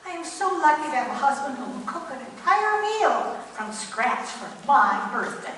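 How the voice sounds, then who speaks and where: Woman as high-voiced doting wife, echoey hall. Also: big pitch swings, moderate pace, a bit bright, far from the mic. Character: sweet, doting, oblivious wife. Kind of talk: comic radio play acting. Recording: echoey hall from afar, faint noise.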